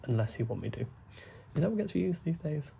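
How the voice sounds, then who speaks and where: Soft-spoken young man, gravelly. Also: a little high, very animated, a little hiss or hum. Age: young adult. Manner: soft, deliberately hushed talk with frequent fillers. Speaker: gaming YouTuber. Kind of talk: rambling gameplay commentary.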